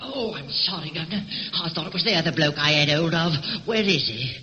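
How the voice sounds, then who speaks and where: A man's voice: on the high side, animated, brisk, lo-fi sound, noisy background, natural voice. Comic manservant male actor, flustered and loyal, swooping delivery, echoey old radio recording. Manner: colloquial, flustered, eager. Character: loyal, excitable, bumbling working-class British manservant. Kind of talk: old-time radio comic sidekick acting.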